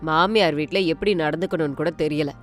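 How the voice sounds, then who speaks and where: A woman's voice: deep voice, fairly quick, soft background music, pitched below the performer's own voice, lightly acted. A woman voicing a harsh older mother-in-law in a family story, scolding and sarcastic. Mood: angry scolding.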